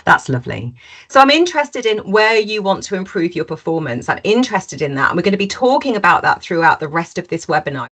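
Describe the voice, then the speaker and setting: A woman's voice: fairly low pitch, sing-song, quick pace, a bit bright. A woman hosting a webinar in an informal, chatty style, with colloquial sales patter. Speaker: webinar host.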